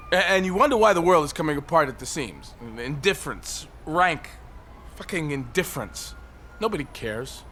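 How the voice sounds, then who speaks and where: Desperate man on a ledge, slow rambling, voice swings all over, audio drama. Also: fairly high pitch. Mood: bitter, musing. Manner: rambling, wistful, bursting into shouts. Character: agitated, rambling man perched on a high ledge, despairing. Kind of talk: audio drama monologue and dialogue. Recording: faint city traffic noise behind.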